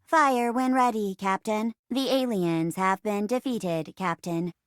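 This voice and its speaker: A woman's voice: mid-range voice, slow pace, no echo, natural voice. A woman voicing a dutiful, earnest robot assistant on a starship, with clipped, formal, literal delivery in a game. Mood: grave, formal. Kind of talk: theatrical game voice acting.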